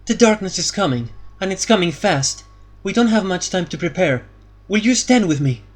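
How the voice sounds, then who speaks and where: A man's voice: high-pitched, a little fast, voice pushed up, close to an everyday voice. A man voicing an earnest young heroine in an audiobook, pleading and urgent.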